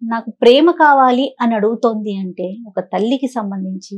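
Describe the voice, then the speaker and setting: A woman with a fairly high voice co-hosts an advice call-in show. She talks rapidly and conversationally, offering guidance and comment. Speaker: co-host of a counselling call-in show.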